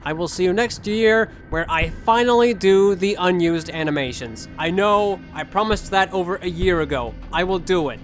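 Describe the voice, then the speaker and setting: A man's voice: high-pitched, normal speed, hardly any pauses, faint music behind. A young man giving casual commentary as a gaming video creator.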